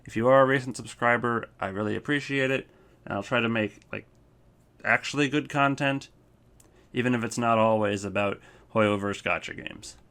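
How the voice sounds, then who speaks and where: A man's voice: mid-range voice, normal speed, fairly bright tone. A man giving casual, rambling commentary on a ranking list as a video host. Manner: rambling, informal.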